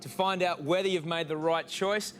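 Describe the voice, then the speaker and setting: An Australian man hosting a game show, energetic and announcing to a studio audience. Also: sits high, normal speed, faint music behind.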